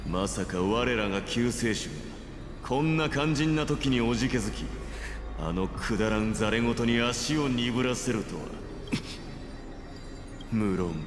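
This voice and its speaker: A haughty warrior prince, voiced by a male actor, declaims with proud defiance in a game drama. The sound is reverberant, with loud music behind him. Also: mid-range voice, a little fast, pauses often, natural voice. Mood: scornful. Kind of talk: dramatic Japanese game voice acting. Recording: echoey game audio under loud music.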